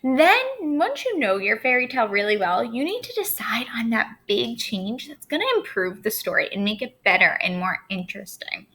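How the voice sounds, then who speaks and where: A woman's voice: a little high, big pitch swings, normal speed, few pauses, bright, forceful tone. A young adult woman teacher explaining a writing lesson to her students step by step in an upbeat way. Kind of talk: recorded classroom lesson.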